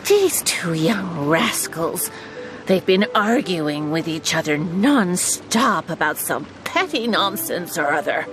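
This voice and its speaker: A woman's voice: lowish voice, heavily acted. A woman voicing a kindly old village grandmother who fusses and pleads. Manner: slow, fussing and pleading. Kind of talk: game voice acting. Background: game music.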